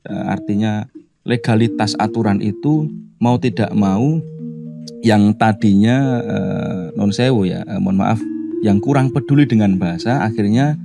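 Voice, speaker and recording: A man with a Javanese Indonesian accent and a low, gravelly voice speaks as a radio studio guest. He builds his points slowly, pausing often on fillers. Music plays clearly underneath him. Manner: thoughtful, halting, many filler pauses. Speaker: guest speaker on language and culture. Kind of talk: casual interview chat.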